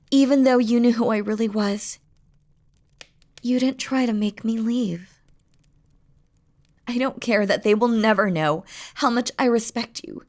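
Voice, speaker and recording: A woman playing a devoted, regal demon queen in a romantic audio roleplay, speaking softly and formally to her lover, often dropping to a whisper. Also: fairly high pitch. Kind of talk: scripted romantic audio roleplay, spoken intimately to the listener.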